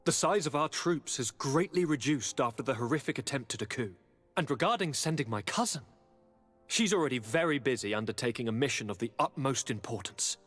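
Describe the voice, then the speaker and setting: A charming young nobleman from a video game, courtly and affectionate. His highish voice swings expressively with theatrical eagerness. It rings through a reverberant hall. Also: fairly bright tone. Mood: troubled, reluctant. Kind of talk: dramatic video game voice acting. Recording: reverberant hall, music underneath.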